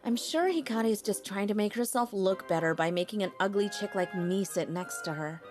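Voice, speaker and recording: A woman voicing a shy, self-deprecating teenage high school girl, narrating her own cartoon story with dramatic self-pity. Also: moderate pace, faint music behind, natural voice. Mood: dreading. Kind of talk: anime-style story narration.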